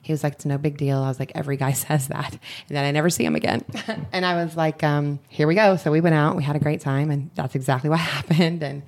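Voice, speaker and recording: A woman with a low, gravelly voice tells her story in a relaxed, chatty way and laughs often. She sits as a guest in a casual podcast interview. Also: fast talker. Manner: chatty, laughs often while telling stories. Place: resort poolside, outdoors.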